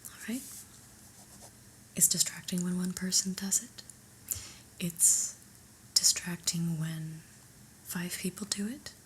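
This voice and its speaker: A whispering female boss, acted by a woman in an ASMR roleplay, stern and unimpressed. Her breathy delivery is very slow and slightly low, with dry, officious emphasis. There is faint noise behind her. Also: a bit bright, rough recording. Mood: exasperated.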